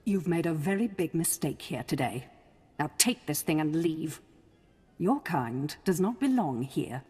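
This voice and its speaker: A woman playing a cold, imperious, contemptuous noblewoman in a video game, with a husky voice. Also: deep voice, very animated, a little slow, a little mellow, in a large hall, faint music behind, full character voice. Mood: cold anger.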